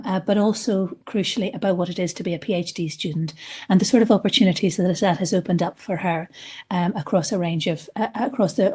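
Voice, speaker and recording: A woman's voice: fairly low pitch, darkish tone, slightly rough. A woman hosting a webinar over a video call, speaking with frequent hesitant restarts. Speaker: webinar host.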